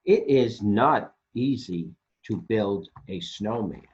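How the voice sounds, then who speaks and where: A man's voice: on the low side, lively intonation, slow pace, a little mellow, husky, muffled recording. A man hosting a children's library storytime, reading a picture book expressively and speaking directly to young listeners. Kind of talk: animated children's picture-book read-aloud.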